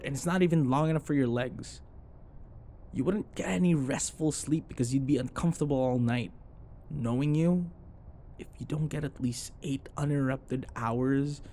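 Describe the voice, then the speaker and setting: A man's voice: medium pitch, darkish tone, natural voice. A man acting a grumpy, sarcastic office rival who grudgingly cares, in an intimate, blunt, conversational roleplay style. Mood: teasing, exasperated. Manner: exasperated, blunt, conversational, sighing.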